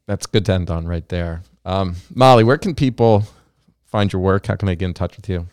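Middle-aged male podcast host; low, resonant voice, dry close sound, friendly and quick to laugh. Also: a little mellow, smooth, dry recording. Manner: friendly, playful, laughs often. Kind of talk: casual interview chat.

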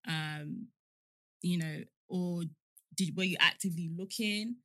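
A woman with a bright, clear-edged voice that sits a little low. She chats informally as a podcast co-host, candid and reassuring. The sound is dry and close, with very little room. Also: takes it slowly, no room sound. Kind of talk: candid casual chat, giving advice.

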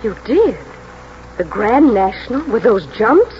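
Calm, shrewd English mother, very slow terse delivery, muffled old radio drama, music behind. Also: a little high, a little hiss or hum. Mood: probing, stolid. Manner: terse, measured. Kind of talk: 1940s radio drama acting.

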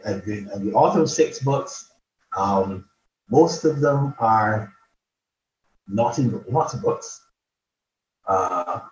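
A man's voice: medium pitch, darkish tone. A man from Barbados with a Bajan accent, chatting casually and playfully as a guest on a remote podcast. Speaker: podcast guest, poet and author. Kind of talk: casual interview chat. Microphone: remote virtual podcast call. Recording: roomy, dull online call, faint noise.

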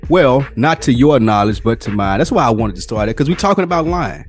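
A man's voice: mid-range voice, expressive, a little fast, soft background music. A man co-hosting a podcast, telling stories and bantering in a casual, conversational way. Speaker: podcast co-host.

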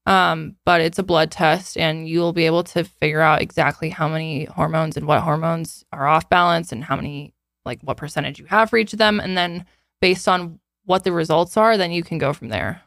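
A woman of twenty-seven chatting casually and candidly as a podcast co-host, informal and quick to swear. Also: deep voice, fairly even intonation, a bit bright, dry recording, studio quality. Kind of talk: casual chat answering listener questions.

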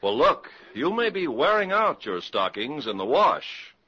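Man, vintage radio announcer, high forceful voice, scripted delivery, muffled old broadcast. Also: big pitch swings, measured pace, husky. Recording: dull vintage radio recording, faint music.

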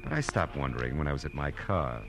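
A man's voice: extremely low pitch, big pitch swings, quick pace, creaky, heavily acted. An actor playing a tough, world-weary male private detective in an old radio drama, with wry, clipped delivery. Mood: brooding narration. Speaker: lead actor and first-person narrator of a detective radio drama. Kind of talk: hard-boiled 1940s-50s radio acting, scripted narration. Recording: vintage radio recording with music.

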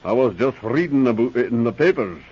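A man's voice: mid-range voice, lively intonation, a little mellow, husky, highs cut off. An actor playing a canny, musing Scottish man in a radio drama, with a Scottish accent. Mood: curious, amused. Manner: slow, musing, sly. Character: canny, chuckling Scottish friend of the inspector. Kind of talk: 1940s radio drama acting.

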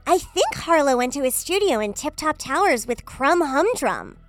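A woman voicing a sweet, excitable young girl pop singer in a children's audio comedy, perky and gushing. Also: high-pitched, moderate pace, soft background music. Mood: cheerful.

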